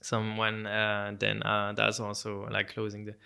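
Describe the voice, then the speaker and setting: A man's voice: on the low side, completely flat delivery, measured pace, creaky, pushed and bright, clear, resonant tone. A young man from France, a native French speaker, chatting casually as a guest and talking hesitantly with many fillers and restarts. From France (Alsace). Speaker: videographer guest. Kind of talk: casual chat.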